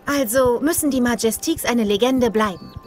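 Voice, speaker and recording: A woman voicing a caring young big sister, earnest and encouraging, in a German animated film dub. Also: on the high side, normal speed, soft background music, natural voice. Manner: earnest, instructive.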